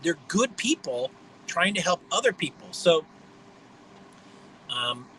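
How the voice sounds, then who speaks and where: High-voiced blunt man in his fifties. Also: very animated, slow pace, bright, forceful tone, slight background noise, low-quality recording. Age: 50s. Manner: blunt, mocking, rambling. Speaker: livestream host. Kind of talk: rambling livestream monologue.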